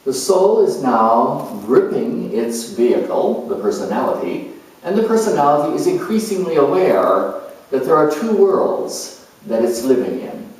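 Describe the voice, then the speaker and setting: A man giving an explanatory astrology lecture in a measured, deliberate way. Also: medium pitch, very animated, darkish tone. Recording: very echoey room, distant microphone.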